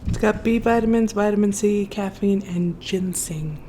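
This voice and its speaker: A woman's voice: medium pitch, moderate pace, mellow, slight background noise. A woman in her early thirties chatting casually and expressively as a mukbang vlogger, with informal asides. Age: early 30s. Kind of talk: casual chatty storytime while eating.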